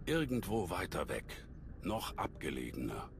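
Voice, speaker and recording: A man playing a calm old alien Jedi master in a German game dub, measured and scholarly, with a deep gravelly voice. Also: noisy background, exaggerated voice. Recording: sci-fi game ambience behind voice.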